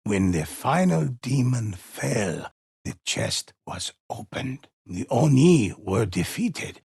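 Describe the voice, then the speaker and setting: A man playing a grave, mysterious storyteller in a samurai video game, telling demon legends slowly and reverently in a gravelly, husky voice. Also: mid-range voice, very animated, darkish tone, no echo, clean studio sound, exaggerated voice. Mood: mysterious, solemn. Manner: slow, ominous, deliberate, reverent.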